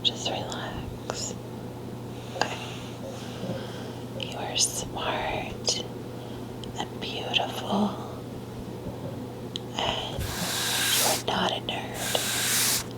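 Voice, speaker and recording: A woman's voice: drawn-out words, long pauses, rough recording. A woman whispering breathily in a soothing, tender, motherly ASMR roleplay, offering comforting reassurance. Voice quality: breathy, mostly unvoiced whisper. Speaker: ASMR performer playing a caring mother. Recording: soft music clearly behind the whisper. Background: music.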